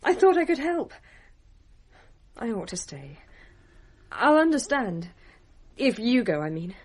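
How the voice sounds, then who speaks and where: A gentle young woman in love for a radio play. Her voice is breathy and a little high. She is dutiful and anxious, speaking softly in short, hesitant phrases. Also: big pitch swings, slow pace, full character voice. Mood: anxious, torn. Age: young adult. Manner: soft, hesitant, broken phrases.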